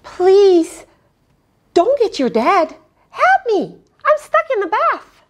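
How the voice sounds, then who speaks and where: Woman as a high, pleading little spider. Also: wildly swooping pitch, takes it slowly, heavily acted. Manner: pleading, polite. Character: small stranded talking spider, pleading, polite, friendly. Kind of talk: children's storybook character voice.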